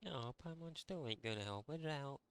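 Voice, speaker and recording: A man's voice: mid-range voice, expressive, normal speed, noisy background. A man giving casual commentary as he streams a game. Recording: voice under loud game music. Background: loud game music.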